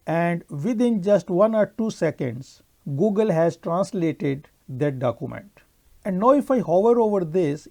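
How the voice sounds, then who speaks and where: A man whose first language is Urdu narrates a computer tutorial over his screen recording. He explains each click in a steady, methodical way. His voice is warm and mellow. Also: a little high. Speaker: software tutorial presenter.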